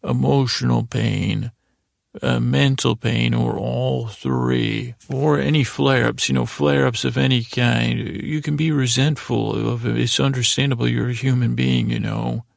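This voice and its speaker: A man hosting a sleep podcast, rambling softly and hesitantly through a lulling bedtime monologue, often repeating himself and trailing off. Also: medium pitch, steady tone, measured pace, creaky, no echo, studio quality. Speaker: podcast host.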